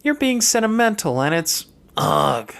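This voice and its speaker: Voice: mid-range voice, big pitch swings, unhurried, vocal fry, fairly bright tone, heavily acted. A reader voicing a calculating young girl from a wealthy family in an audiobook, airy and pointed with a haughty disdain. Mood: cool, pointed.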